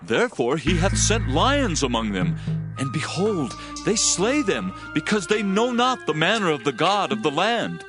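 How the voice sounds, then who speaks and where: Casting: alarmed ancient messenger, raised pitch, swooping urgent delivery, biblical audio drama. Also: male voice, big pitch swings, a little slow. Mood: anxious, urgent. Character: anxious male messenger pleading to a king. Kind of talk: dramatized scripture reading. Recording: music bed under the voice.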